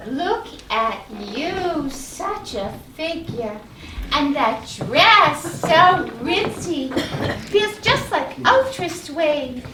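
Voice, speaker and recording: A woman playing a fussy, chatty goddess wife and doting aunt in a live stage comedy, with gushing, drawn-out phrasing. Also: high-pitched, lively intonation, full character voice. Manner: gushing, solicitous, drawn-out exclamations. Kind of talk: live one-act stage comedy acting. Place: stage of a small playhouse. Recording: distant echoey theatre, clear background noise.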